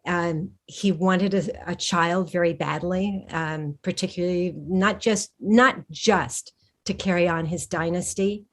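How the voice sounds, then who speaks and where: A woman speaking as the guest author in a conversational interview, her voice sitting a little low. She thinks aloud as she talks, circling back and restarting her sentences before landing on a point. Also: fairly even intonation, measured pace. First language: English. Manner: thoughtful, with frequent restarts and fillers. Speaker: historical fiction author, guest.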